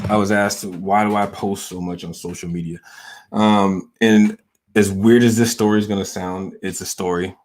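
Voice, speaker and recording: A man with a very low voice from the American South talks straight to his viewers. His delivery is loose and unhurried, full of ums, "you know"s and half-started sentences he circles back to. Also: slight background noise. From Southern United States. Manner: hesitant, rambling, many fillers and restarts. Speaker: channel host. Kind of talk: casual personal monologue.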